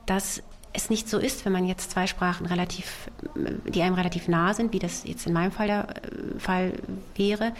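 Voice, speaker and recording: A woman novelist with Russian as her first language, raised in Germany, answering interview questions thoughtfully with many pauses, fillers and restarts. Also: fairly low pitch, fairly even intonation, slight background noise. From Germany, child of Soviet immigrants. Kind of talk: literary interview.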